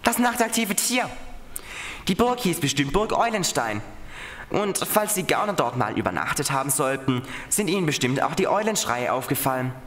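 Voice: mid-range voice, sing-song, moderate pace, fairly bright tone, reverberant. An actor playing a confident, reassuring young detective who leads the group in a children's radio play. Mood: thoughtful.

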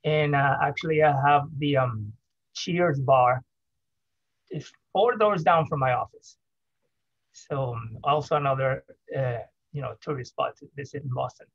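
A man's voice: mid-range voice, measured pace, dry recording. Man on a dull, dry call line. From Venezuela. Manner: friendly, hesitant, with many fillers. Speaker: dentist and professor. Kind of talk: conference presentation over video call. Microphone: video call webcam.

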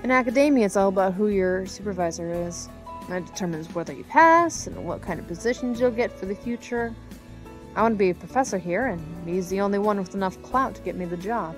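Actress as young female student, chatty, candid, medium pitch, audio drama dialogue, soft music behind. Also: expressive, brisk, lightly acted. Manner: conversational, candid.

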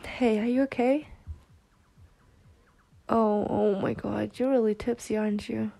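A woman's voice: fairly high pitch, steady tone, measured pace, creaky, dark tone, no echo. A woman acting a protective, exasperated, foul-mouthed twin sister who is tender with her girlfriend, in an ASMR roleplay. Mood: tender, amused. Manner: sweary, sighing, half-muttering. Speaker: roleplay voice actor.

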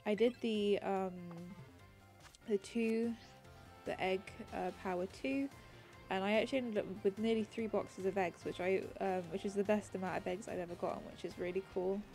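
A woman talks over her gameplay in a relaxed, meandering way, full of ums and restarts. Her voice is mellow and a little congested and raspy with a cold. Soft background music plays faintly under her voice. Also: mid-range voice, normal speed. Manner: rambling, many ums and restarts. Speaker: gaming channel host. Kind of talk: casual gameplay commentary.

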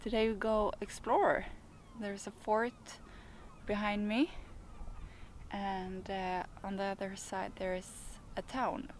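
A young woman chats casually to a camera out on a boat at anchor. She speaks slowly and hesitantly, feeling for her words, in a mid-pitched voice. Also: little pitch movement, slight background noise. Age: young adult. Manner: halting, hesitant phrasing. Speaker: sailing vlogger. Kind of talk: casual vlog talk to camera. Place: outdoors on a sailboat at anchor.

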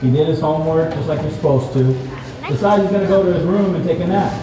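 A man's voice: on the high side, fast talker, a crowd in the background, natural voice. Guy doing friendly puppet explaining safety stuff to kids, echoey, audience noise. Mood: storytelling. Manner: instructive, asking leading questions. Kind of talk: live children's fire safety puppet show. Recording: echoey mall, distant mic, audience noise.

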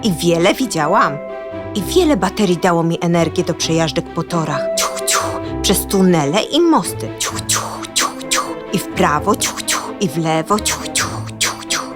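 A woman voicing a staid, proud old toy train in a children's audio play, calm, with whispered chuffing between phrases. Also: on the low side, normal speed, music in the background, natural voice. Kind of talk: children's audio drama acting.

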